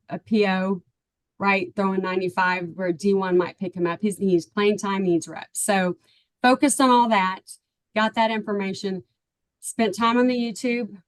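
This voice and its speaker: A woman's voice: medium pitch, measured pace, dry recording, rough recording. A middle-aged woman with a Texan accent, telling her story as an interview guest in a chatty, earnest way, full of ums and "right?". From Texas, USA. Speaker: parent of a baseball player, client guest.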